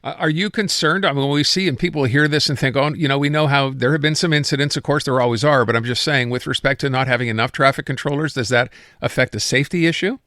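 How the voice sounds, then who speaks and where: A man's voice: mid-range voice, quick pace, a bit bright, no echo, studio quality. A man hosting a radio interview, asking chatty, polite, loosely phrased questions in a conversational way.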